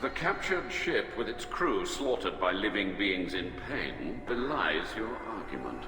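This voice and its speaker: An actor playing a pompous, prickly middle-aged alien admiral in a video game, formal and haughty. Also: male voice, sits low, sing-song, exaggerated voice. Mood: stern, accusing. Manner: formal, haughty, deliberate wording. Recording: echoey hall, music behind.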